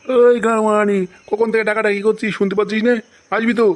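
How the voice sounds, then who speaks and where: Cartoon old husband, wheedling, high-pitched, voiced by a man. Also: flat delivery, fast, no echo, close to an everyday voice. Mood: whiny. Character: old husband, pompous yet pleading. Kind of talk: comic cartoon dub. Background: background music.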